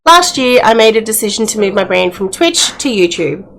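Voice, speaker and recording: Female game reviewer, casual and chatty, slightly croaky, highly varied intonation, faint background noise. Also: big pitch swings, normal speed, fairly bright tone. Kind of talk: casual game review and let's-play commentary. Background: faint room ambience, wind at windows.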